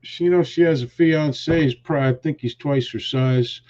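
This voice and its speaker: A man's voice: fairly high pitch, normal speed, darkish tone, dull audio. A man hosting a casual live stream, greeting viewers and teasing his co-hosts in a joking, rambling way. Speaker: host.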